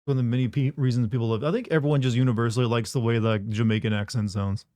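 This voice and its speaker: A man's voice: mid-range voice, steady tone, racing, dark tone, dry recording. A man streaming a game live, chatting casually in a rambling, joking way. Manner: rambling and joking, lots of fillers like "like". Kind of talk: casual live gameplay commentary.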